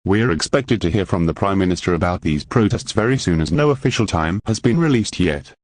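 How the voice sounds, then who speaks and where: Low-pitched man reading breaking news. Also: lively intonation, fairly quick, no room sound. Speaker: news anchor. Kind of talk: breaking news report in a fictional emergency scenario.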